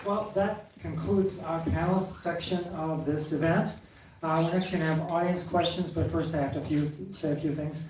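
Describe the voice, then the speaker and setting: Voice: medium pitch, fairly even intonation, moderate pace. A moderator hosting a live forum, giving instructions hesitantly with fillers and self-corrections. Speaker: event moderator. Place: large hall at a live forum. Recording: echoey hall, far from mic, audible room noise.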